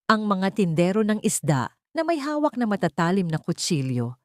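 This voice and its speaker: A woman's voice: mid-range voice, big pitch swings, normal speed, a little mellow, no room sound, studio quality. A woman narrating a scripted audiobook drama in a dramatic storytelling style.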